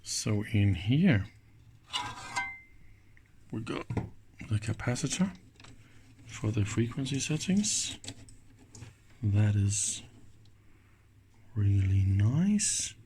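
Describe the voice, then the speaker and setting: Slow-paced man thinking aloud. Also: on the low side, sing-song. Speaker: host of an electronics teardown video. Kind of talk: casual hands-on commentary. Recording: clicks and faint background noise.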